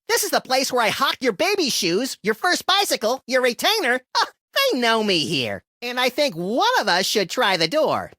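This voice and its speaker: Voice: mid-range voice, bright, forceful tone, dry recording, clean studio sound. Manic little cartoon rabbit creature, wildly swooping voice. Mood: playful, excitable. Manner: zany, sarcastic, exaggerated delivery. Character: manic, mischievous small cartoon rabbit-like creature, gleefully violent sidekick. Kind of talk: video game character voice lines, comedic cartoon acting.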